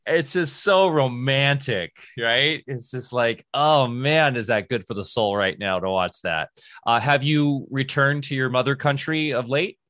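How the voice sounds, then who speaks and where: A man's voice: mid-range voice, measured pace, a bit bright, dry recording, studio quality. A man hosting a casual interview who talks in an enthusiastic, rambling way. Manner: rambling and enthusiastic, with many restarts. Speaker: podcast host.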